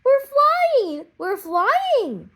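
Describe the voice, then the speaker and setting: An eager young child from a storybook acted by a woman, pitched very high and pleading. The delivery is keen and wide-eyed, as in a children's audiobook. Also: big pitch swings, extremely slow, bright, forceful tone, exaggerated voice. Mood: thrilled. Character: excited, pleading young children, brother and sister. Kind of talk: audiobook character voices.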